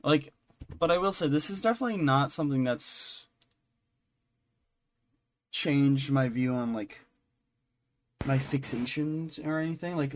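Rambling man podcasting over background noise. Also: mid-range voice. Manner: rambling, thinking aloud. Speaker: podcast co-host. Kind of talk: casual pop-culture review chat.

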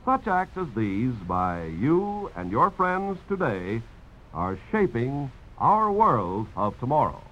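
A man's voice: mid-range voice, very animated, takes it slowly, fairly bright tone. A male radio announcer reads scripted narration with a widely varied intonation. His delivery is formal and polished. The old broadcast sounds dull, with faint noise.

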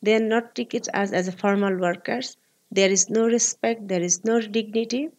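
A woman in her forties from Bangladesh with a Bangladeshi accent, speaking earnest, halting English as an interview guest. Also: mid-range voice, fairly even intonation, a little mellow. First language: Bengali. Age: 40s. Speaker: guest, labor activist.

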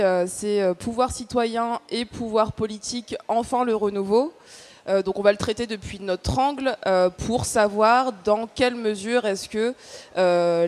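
A woman's voice: mid-range voice, a little mellow. Hesitant woman giving an explanatory talk. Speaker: activist panel speaker. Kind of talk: semi-prepared public talk, explanatory.

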